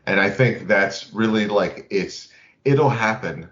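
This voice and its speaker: A man's voice: lowish voice, slow pace, a bit bright. A man talking casually about tabletop gaming as a podcast host. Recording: roomy, slightly dull lo-fi audio.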